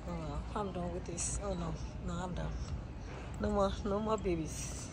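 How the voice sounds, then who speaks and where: A woman's voice: lowish voice, steady tone, moderate pace. A woman chatting casually to her phone camera while shopping in a large supermarket, often murmuring to herself. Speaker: vlogger. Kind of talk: casual shopping vlog narration. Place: large supermarket aisles, indoors. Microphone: handheld phone camera. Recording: loud store background noise.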